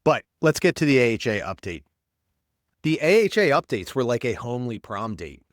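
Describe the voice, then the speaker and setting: A man's voice: mid-range voice, very animated, moderate pace, no room sound, studio quality. A man hosting a podcast, sounding congested and stuffed up, rambling and blunt in casual commentary. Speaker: podcast host, paramedic.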